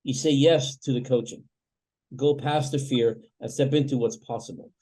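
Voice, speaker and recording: A man from Canada, a family wealth coach, answering interview questions in a reflective, measured way with many fillers. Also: medium pitch, fairly even intonation, warm tone.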